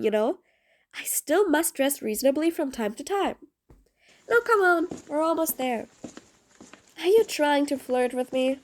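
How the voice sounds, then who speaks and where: Woman acting a high-voiced sardonic female supervillain. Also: a little mellow. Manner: sarcastic, dry, exasperated. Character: mean but patient female supervillain, sardonic, grudgingly soft. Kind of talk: audio roleplay acting addressed to the listener.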